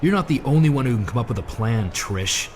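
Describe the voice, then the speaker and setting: A man's voice: medium pitch, expressive, fairly quick, darkish tone, close to an everyday voice. A man playing a cocky, resentful scheming wrestler in a video game, speaking in a pointed, sarcastic way. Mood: resentful sarcasm. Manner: pointed, smug, mocking. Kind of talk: scripted video game cutscene acting. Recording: clear ambient noise behind voice.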